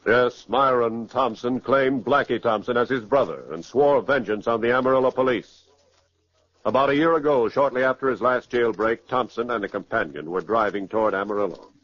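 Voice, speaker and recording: A man's voice: medium pitch, moderate pace, no echo. An American man narrating a 1940s radio crime drama in a grave, formal, declamatory way. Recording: muffled, dry 1940s radio recording.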